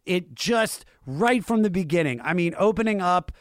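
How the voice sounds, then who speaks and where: Guy podcast host, excited and slangy, voice runs high, really dry sound. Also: moderate pace, dry recording. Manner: informal, enthusiastic, uses slang and swearing. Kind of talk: casual music podcast chat.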